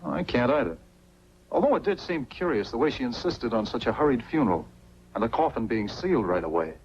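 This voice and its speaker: Actor as a smooth, fast-talking film noir schemer. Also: male voice, mid-range voice, sing-song, a little mellow, lightly acted. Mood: feigned reluctance. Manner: smooth, insinuating. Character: smooth scheming male blackmailer, suave and calculating. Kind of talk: 1940s film noir acting. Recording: dull, faintly noisy 1940s film soundtrack.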